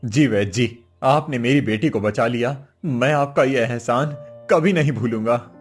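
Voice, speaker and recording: A man's voice: mid-range voice, a little slow, soft background music, close to an everyday voice. A man voicing a poor, desperate and devoted village cobbler, humble and earnest, in a cartoon story. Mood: grateful.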